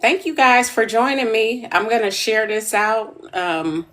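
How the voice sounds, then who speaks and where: Woman, 50s, podcast host, slow unhurried talk, relaxed, rambling, repeats phrases. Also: on the high side. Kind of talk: casual podcast chat.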